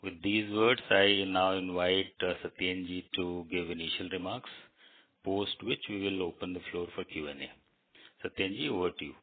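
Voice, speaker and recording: A man's voice: sits low, moderate pace. A man with an Indian accent formally moderating a company earnings call and introducing speakers. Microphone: conference call line. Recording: muffled narrow phone line.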